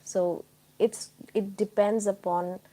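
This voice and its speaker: A woman's voice: medium pitch, fairly even intonation, takes it slowly, dark tone, low-quality recording. A woman from Tripura with an Indian accent, hosting a casual live chat and explaining things informally to her viewers. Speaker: live stream host.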